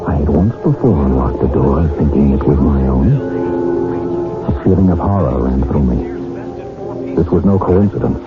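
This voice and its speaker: An actor playing a haunted young English husband in a radio drama, speaking in a grave, dramatic way. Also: male voice, extremely low pitch, animated, measured pace, long pauses. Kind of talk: radio drama acting and first-person narration. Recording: tinny old radio broadcast with loud music.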